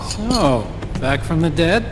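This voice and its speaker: An actor playing an earnest, gentle tech-expert sidekick in a video game, with a mild, explanatory manner. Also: male voice, on the high side, expressive, slow pace, noisy background. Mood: concerned, friendly. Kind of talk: video game cutscene acting. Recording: music behind the voice.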